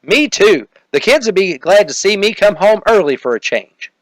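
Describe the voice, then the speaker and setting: A man's voice: sits high, lively intonation, normal speed, no echo, pitched above the performer's own voice, poor audio. A man voicing an eager, earnest sidekick to a detective in a comedic radio parody, with music behind. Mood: cheerful.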